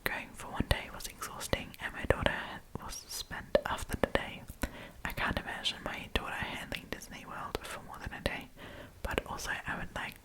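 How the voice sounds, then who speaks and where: A woman hosting an ASMR storytime, reading online stories aloud in a soft, breathy whisper. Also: normal speed, low-quality recording. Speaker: host of an ASMR storytime channel.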